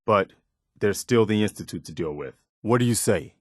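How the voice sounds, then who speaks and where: An earnest militia officer for a video game. His voice sits fairly low and is recorded dry and close. He speaks sincerely and plainly, as a loyal, principled companion with firm morals. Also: expressive, moderate pace, a little mellow, dry recording. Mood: curious, bemused.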